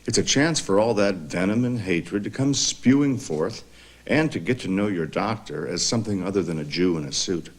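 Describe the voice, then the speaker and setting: An actor narrating a dark comedy as a wry, mocking storyteller in a scripted style. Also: male voice, low voice, expressive, normal speed.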